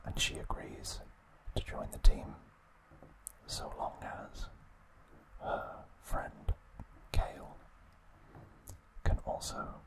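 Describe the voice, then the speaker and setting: A man whispering an ASMR trivia recap in a breathy, unvoiced, hushed voice with gentle, halting pauses. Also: poor audio. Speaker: ASMR host.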